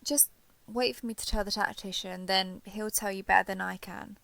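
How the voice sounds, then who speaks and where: A woman's voice: medium pitch, steady tone, vocal fry, a bit bright, no echo. A woman playing a shy, guarded villain turned reluctant ally in a roleplay audio drama, speaking hesitantly and with reserve. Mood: reticent, awkward. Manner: hesitant, halting, reserved, understated. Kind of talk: roleplay audio drama, intimate one-sided dialogue.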